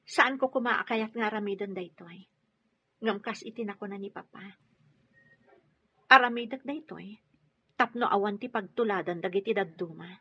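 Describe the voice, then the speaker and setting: An actress playing a hurt, resolute pregnant wife in a radio drama, speaking emphatically with an aggrieved manner. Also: female voice, medium pitch, moderate pace, long pauses, breathy edge, dry recording. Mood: bitter. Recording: dull lo-fi radio drama audio.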